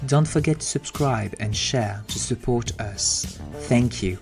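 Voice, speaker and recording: A man reading a podcast's scripted closing message in a calm, measured way. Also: mid-range voice. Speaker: podcast host. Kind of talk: scripted outro. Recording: voice over clear guitar music.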